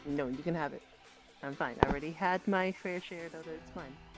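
A woman improvising in-character roleplay chat in a halting way, often repeating herself. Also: deep voice, loud music behind the voice. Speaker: roleplayer in a virtual-world game.

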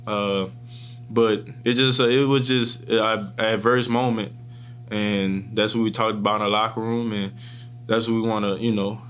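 A young man in his twenties answers post-game questions at a press table. His voice sits fairly low and stays flat, and he keeps it calm and measured with frequent restarts. A faint hum runs under the table microphones. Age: 20s. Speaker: college football player. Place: press room in front of a sponsor backdrop. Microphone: table microphones in front of him.

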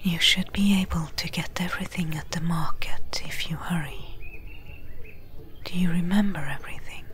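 A woman playing a practical, caring desert adventurer in an ASMR roleplay, giving gentle advice in a breathy whisper. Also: lowish voice, lightly acted. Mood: gentle, advising. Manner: gentle, unhurried, advising.

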